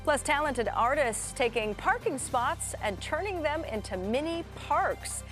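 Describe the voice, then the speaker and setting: A woman's voice: sits high, animated, a little slow, fairly bright tone, faint music behind. A woman with an American accent reading scripted television news as an anchor. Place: TV studio.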